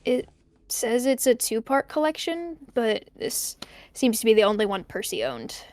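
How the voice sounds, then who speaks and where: A woman plays an uneasy young narrator of an audio drama diary. The voice sits fairly high, in a very dry recording. The delivery is hesitant and rambling, turning things over pensively. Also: animated, unhurried, dry recording. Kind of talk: audio drama monologue, found-tape diary.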